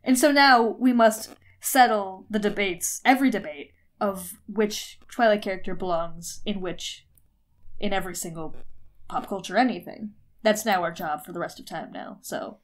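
A woman's voice: mid-range voice, normal speed. A woman co-hosting a podcast in casual chat, swearing, mocking herself and often breaking into laughter. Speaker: podcast co-host.

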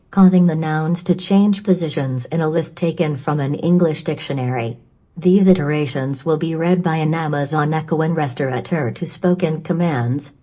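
Text-to-speech lady voice, lowish, soft mellow tone, reads everything the same even way. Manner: even, machine-read recitation. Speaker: smart speaker voice assistant. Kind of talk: synthetic text-to-speech reading.

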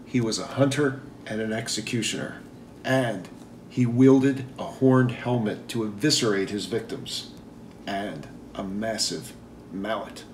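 A man's voice: on the low side, a little slow, lightly acted. A man playing a villain's businesslike lieutenant, matter-of-fact in his delivery. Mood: measured, conspiratorial. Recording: echoey room, distant mic, faint noise.

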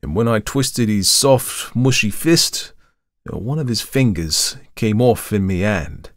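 A man's voice: on the low side, lively intonation, slow pace, no echo, clean studio sound, voice pushed up. A man voicing a young Cockney manservant and ex-soldier, deferential but indignant, in a colloquial storybook reading. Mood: horrified, confessing. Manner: colloquial, deferential, calls master sir.

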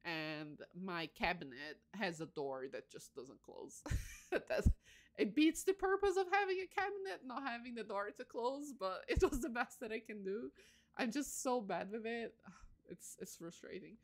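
A woman's voice: fairly high pitch, lively intonation, moderate pace. A woman in her late twenties, a bit tired, chatting casually and candidly as she livestreams a game, giggly and self-deprecating. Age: late 20s.

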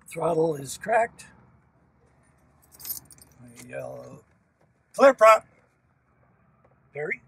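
A man demonstrating a small plane's cockpit, explaining each checklist step in a relaxed, explanatory way as he works the controls. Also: a little high, drawn-out words, vocal fry, pauses often, distant mic, a little hiss or hum. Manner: relaxed, explanatory, talks while working controls. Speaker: aircraft sales demo pilot. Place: small aircraft cockpit with engine running.

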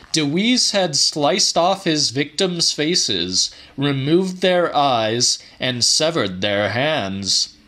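A man reading a horror audiobook in a measured, theatrical way. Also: medium pitch, sharp tone. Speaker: audiobook narrator. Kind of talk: dramatic horror audiobook narration.

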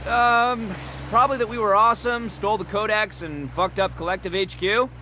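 An actor playing a brash, cocky young gang boss in a video game, emphatic and swaggering. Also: male voice, high-pitched, steady tone, moderate pace, sharp tone, slight background noise. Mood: pleased, casual. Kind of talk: video game action dialogue and rousing speech.

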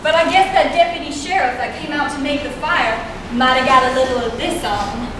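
A young woman on a school stage playing a loyal, sharp-tongued farm neighbor who speaks bluntly and defensively. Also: high voice, brisk, lightly acted. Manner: blunt, defensive, plain-spoken. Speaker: student actor. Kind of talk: amateur stage acting. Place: high school auditorium stage. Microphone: far camera in the audience. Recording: echoey hall, far off, noisy.

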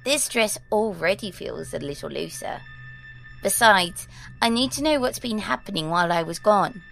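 A prim, precocious girl who has been nine for centuries, acted by a woman in an audio drama. She speaks properly and formally, with a noticeable creak in the voice. Soft music plays underneath. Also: mid-range voice, animated, moderate pace, low-quality recording. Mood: prim, composed. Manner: proper, old-fashioned formal phrasing. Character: ancient girl in a nine-year-old's body, prim, precocious.

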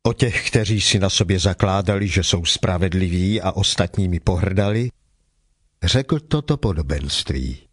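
A man's voice: low voice, expressive, husky, no echo, clean studio sound. A man reading scripted narration for a dramatized scripture production. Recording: faint music behind the voice.

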